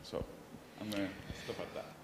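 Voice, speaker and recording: A man with a Cypriot Greek accent, a professor speaking on a conference panel in an earnest, measured way with small restarts. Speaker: panelist, professor of international relations. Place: conference hall.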